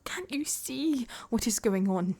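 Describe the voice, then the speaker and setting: A woman playing a proud, betrayed royal wife in a dramatic fantasy roleplay, indignant and heartbroken, speaking in a breathy, half-whispered, accusing way. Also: fairly high pitch, animated, measured pace, warm tone, full character voice. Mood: indignant, bitter.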